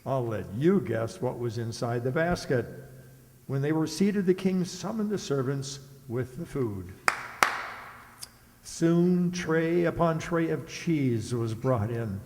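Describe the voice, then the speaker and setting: Male narrator for children's radio drama, mid-pitched and creaky, theatrical, with hall reverb. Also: lively intonation, slow pace, darkish tone, a little hiss or hum. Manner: theatrical storyteller. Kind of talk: scripted children's story narration.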